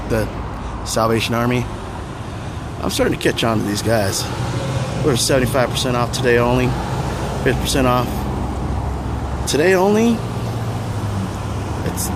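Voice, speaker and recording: A middle-aged man, hoarse from a cold, chatting casually with a raspy voice in a wry, rambling way as he vlogs his thrift-store hunt. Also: mid-range voice, background noise. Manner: wry, rambling, full of fillers. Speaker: thrift-hunting vlogger. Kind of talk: casual vlog chat. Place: outdoors by stores, street traffic.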